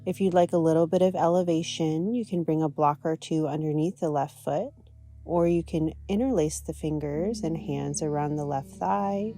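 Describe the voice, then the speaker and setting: A woman teaching yoga, guiding a practice in a gentle, soothing, unhurried manner. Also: low voice, faint music behind. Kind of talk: guided yoga and meditation instruction.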